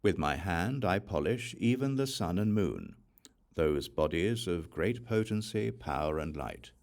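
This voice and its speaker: Low gravelly guy narrating a sutra audiobook, solemn n measured but pitch moves around a lot. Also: animated, a little mellow. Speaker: audiobook reader of scripture. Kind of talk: scripted reading of a Buddhist sutra.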